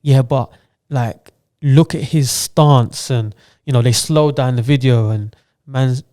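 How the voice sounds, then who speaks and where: A man's voice: medium pitch, slow pace, warm tone. Chatty man, "you know what I'm saying". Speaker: podcast co-host. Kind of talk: casual chat.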